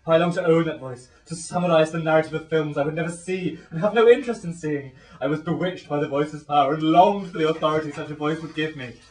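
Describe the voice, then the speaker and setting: A man playing a pompous, florid, rambling gentleman in an absurd comic radio play. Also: fairly high pitch, fast talker, few pauses, lightly acted. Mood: grandiloquent, rapturous. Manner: florid, grandiloquent. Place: small dressing room. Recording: echoey room, distant microphone.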